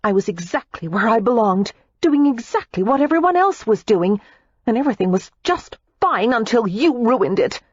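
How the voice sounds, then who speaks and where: A hot-tempered young woman in a storybook, her voice pitched high and sharp with indignation. A woman narrator acts her out. It sits on a dry, slightly muffled audiobook recording. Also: big pitch swings, normal speed, breathy edge, no room sound. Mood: indignant. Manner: raised, heated, accusing delivery. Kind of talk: audiobook character voice.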